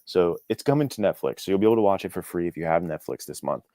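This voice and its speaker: A man's voice: low-pitched, animated, fast talker, dark tone, no echo. A man talking casually as an interview guest, explaining things in an enthusiastic, informal, rambling way. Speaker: guest author.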